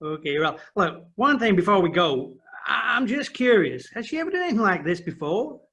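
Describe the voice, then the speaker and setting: An actor in an audio play playing a helpful American official, reassuring and gently joking. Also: male voice, high voice, very animated, brisk, full character voice. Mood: curious. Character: helpful, genial American official living in Austria.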